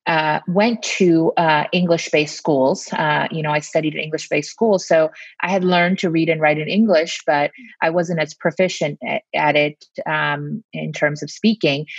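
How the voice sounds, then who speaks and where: A woman from India co-hosting a casual podcast, speaking in a measured way with frequent ums. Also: low-pitched, dry recording, highs cut off. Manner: warm, measured, many ums. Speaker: pediatrician podcast co-host. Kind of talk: casual conversational chat.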